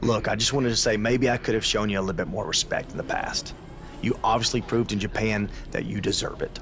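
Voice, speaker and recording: A man's voice: fairly low pitch, fairly even intonation, fairly quick, a bit bright, natural voice. A man playing an apologetic veteran wrestler in a video game cutscene, conversational and conciliatory. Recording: faint ambient background noise.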